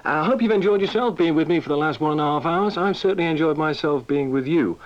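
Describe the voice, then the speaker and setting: A man's voice: fairly high pitch, fast. A man presenting live radio with chatty, breezy patter. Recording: dull lo-fi radio recording.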